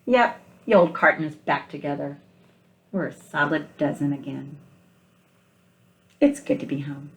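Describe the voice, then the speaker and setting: A woman voicing a kindly, earnest male egg in an expressive children's storybook read-aloud, with emphatic, deliberate phrasing. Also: deep voice, pitched below the performer's own voice. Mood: content.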